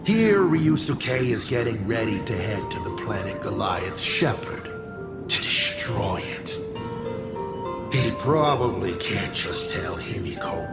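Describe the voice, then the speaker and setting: An actor voicing a theatrical, mocking male villain in a video game, with a taunting, drawn-out delivery. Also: lowish voice, sing-song, creaky, exaggerated voice. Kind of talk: dramatic video game voice acting. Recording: loud music under the voice. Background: music.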